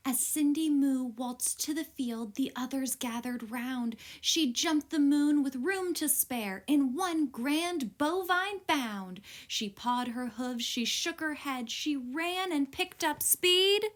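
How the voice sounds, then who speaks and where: A woman reading a children's picture book aloud as a teacher would, in an expressive, deliberate storytelling style. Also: high voice.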